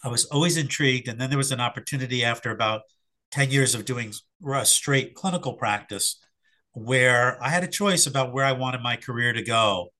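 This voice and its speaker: A middle-aged man, slightly hoarse after an illness, answering interview questions in a reflective, hesitant way with fillers and restarts. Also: mid-range voice, brisk, bright, forceful tone. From Philadelphia area. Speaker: sports psychologist guest.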